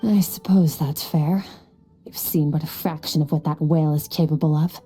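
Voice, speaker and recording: Dark, low-voiced aloof warrior woman. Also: normal speed, slightly rough. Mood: grave, explanatory. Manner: cool, deadpan, matter-of-fact, formal. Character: aloof, stoic, powerful young swordswoman from the abyss. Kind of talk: anime-style game voice acting. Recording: music behind the voice.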